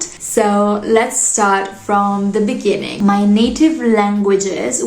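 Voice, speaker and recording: A young woman with an Italian accent, a bilingual English teacher, chatting in an earnest, casual way to her vlog audience. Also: medium pitch. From Italy. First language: Italian and Polish. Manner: chatty, earnest, fond of asides like "you know". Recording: echoey room.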